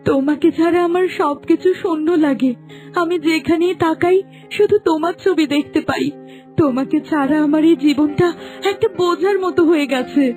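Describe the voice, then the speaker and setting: A woman's voice: very high-pitched, moderate pace, a little mellow. A woman playing a devoted, heartbroken young woman in a melodramatic cartoon, with a plaintive, dramatic delivery. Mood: forlorn. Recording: faint music under the voice.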